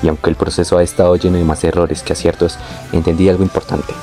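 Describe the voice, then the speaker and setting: A young man reading a scripted, reflective personal narration in a measured, literary way. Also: sits low, little pitch movement, dark tone, faint music behind. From born in Venezuela, moved to Colombia. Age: young adult. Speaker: narrator of his own life story.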